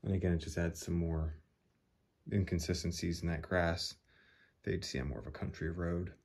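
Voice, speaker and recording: A man with a deep, low voice talks through his model train scenery step by step. His manner is easygoing, and he thinks aloud as he works. He is recorded on a phone camera in his hobby room. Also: moderate pace, vocal fry, slightly rough. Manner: relaxed, thinking aloud while working. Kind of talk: casual how-to narration.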